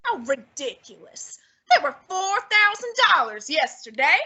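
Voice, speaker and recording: A woman's voice: high voice, sing-song, a little slow, bright, forceful tone, heavily acted. A teenage girl playing a fat, lazy, stubborn male detective in a student radio drama, with a pompous, clipped manner. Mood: irritated. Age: teens.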